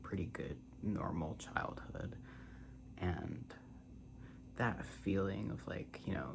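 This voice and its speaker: A man in his twenties rambling casually in a soft-spoken ASMR style, drifting between a breathy murmur and a half-whisper. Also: low-pitched, background noise. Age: 20s. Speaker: ASMR creator.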